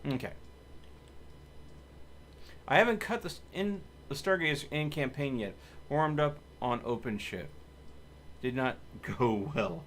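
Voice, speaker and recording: A man's voice: medium pitch, animated, unhurried, long pauses, noisy background. A man hosting a live game stream, chatting casually and commenting on his play, with game music behind him. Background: video game music.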